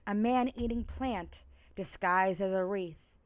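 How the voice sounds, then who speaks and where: A woman reading stories aloud and chatting in an expressive, theatrical way as a live storyteller. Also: medium pitch, slow, no echo.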